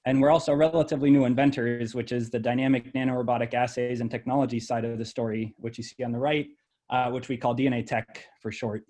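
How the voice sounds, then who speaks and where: A middle-aged male university researcher talks his listeners through a slide presentation. His pitch sits in the ordinary middle range. He speaks in a measured, explanatory way and scatters ums and uhs through his sentences. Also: fairly even intonation, a little mellow. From born in El Salvador, raised in Ohio.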